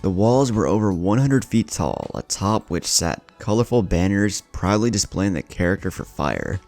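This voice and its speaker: Male story narrator, very low and gravelly, lively intonation, light music bed. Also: moderate pace. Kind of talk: scripted narration of fan fiction. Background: background music.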